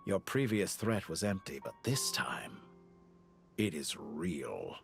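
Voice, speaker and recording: An actor playing a cold, cultured villainous scientist in a video game, speaking in eloquent, measured, theatrical phrases. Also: male voice, low voice, big pitch swings, vocal fry, heavily acted. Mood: resigned admiration. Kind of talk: scripted video game voice acting. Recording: music under the voice.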